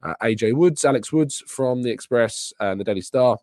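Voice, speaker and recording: A man with a raspy, hoarse voice from a sore throat, hosting a live show and casually answering chat questions. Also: mid-range voice, moderate pace, darkish tone, dry recording, studio quality. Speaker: podcast host. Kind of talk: live transfer news and chat Q&A.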